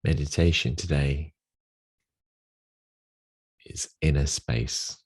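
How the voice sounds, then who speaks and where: A man with a soft, husky voice guides a meditation, giving gentle instructions with long pauses. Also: rumbling low.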